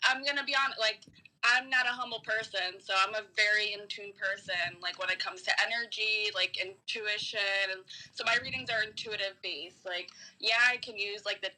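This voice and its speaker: A woman from New York City talking casually as a podcast guest, frank and informal, explaining things in a halting way. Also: medium pitch, steady tone, sharp tone, poor audio. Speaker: podcast guest, comedian and tarot reader. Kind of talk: casual conversation.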